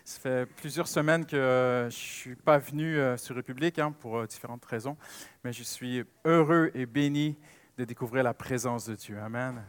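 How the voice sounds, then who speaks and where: A man's voice: mid-range voice, fairly even intonation, moderate pace, smooth, no echo. A man with a French Canadian accent preaching a sermon with personal stories, emphasizing and repeating key phrases.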